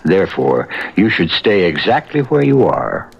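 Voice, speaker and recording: A man hosting a radio drama, narrating a script in a measured, dramatic, literary manner. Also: low voice, sing-song, gravelly, husky. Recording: dull 1970s radio, loud music. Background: loud music.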